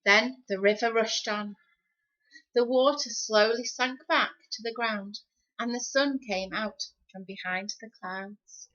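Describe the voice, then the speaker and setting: A woman reading a children's story expressively, with deliberate, dramatic phrasing. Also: a little high, steady tone, a bit bright. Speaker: storybook reader. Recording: slightly dull, lo-fi sound.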